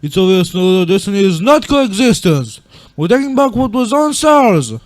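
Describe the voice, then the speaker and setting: A man playing a grieving, regretful monster king and gentle father in a dramatic comic dub, speaking in a solemn, earnest way. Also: high voice, big pitch swings, moderate pace, no echo. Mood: furious, vowing.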